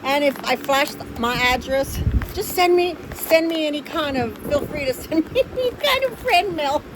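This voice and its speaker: A woman vlogger speaks in a high, excitable voice. She chats casually and effusively, as if showing off treasures to her regular viewers. There is clear ambient noise behind her. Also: moderate pace. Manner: gushing, effusive, easily excited. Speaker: vlogger, host of an unboxing channel. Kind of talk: casual excited unboxing chat.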